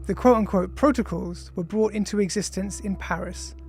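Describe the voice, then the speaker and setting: An author reading their own nonfiction audiobook in a measured, formal, deliberate way. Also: mid-range voice, gravelly, faint music behind. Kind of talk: scripted audiobook narration of an essay.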